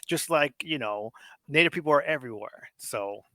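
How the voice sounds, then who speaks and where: A man's voice: fairly high pitch, expressive, moderate pace, pushed and bright, dry recording. Blunt, cussing man with a Mexican American accent. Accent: Mexican American, Los Angeles. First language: Spanish. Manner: blunt, profane, heated, with many restarts. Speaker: podcast guest. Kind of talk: casual political discussion.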